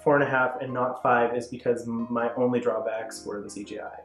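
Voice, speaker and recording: A man's voice: mid-range voice, little pitch movement, fairly quick, a bit bright. Male review host, conversational panel chat, echoey room, faint music underneath. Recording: roomy echo with faint music.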